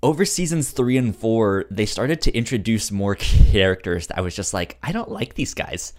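A man's voice: lowish voice, lively intonation, normal speed, darkish tone. A man co-hosting a podcast in casual chat, stammering and repeating words as he goes. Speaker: podcast co-host.

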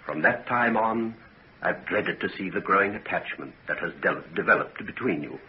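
A grave, suspicious father of middle age in a 1940s radio serial. His low voice swings widely as he issues solemn, deliberate warnings. The old recording is dull, with faint noise. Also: animated. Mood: ominous. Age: middle-aged. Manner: earnest, solemn, deliberate delivery. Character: grave, suspicious, protective father of a grown daughter. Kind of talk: 1940s radio drama acting.

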